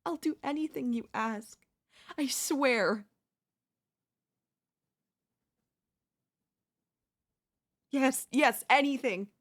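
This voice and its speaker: A woman's voice: high-pitched, unhurried. A young woman playing an obsessive, lovesick yandere neighbor in an intimate, intense audio roleplay. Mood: desperate, begging. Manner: intimate, pleading, emotionally intense delivery. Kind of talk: ASMR audio roleplay acting.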